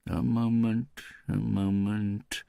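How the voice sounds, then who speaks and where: A man's voice: fairly low pitch, little pitch movement, slow, gravelly, mellow, clear, resonant tone, dry recording, clean studio sound, voice pushed up. A man voicing a sardonic, teasing woman pathologist with a mischievous, wry manner in an audiobook. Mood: brisk, wry.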